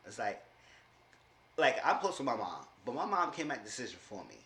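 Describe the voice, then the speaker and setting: Man, 30s, casual show recap, animated storytelling, very expressive pitch, roomy echo. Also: normal speed. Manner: animated storytelling, acting out quoted people with big pitch jumps. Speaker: TV show reviewer.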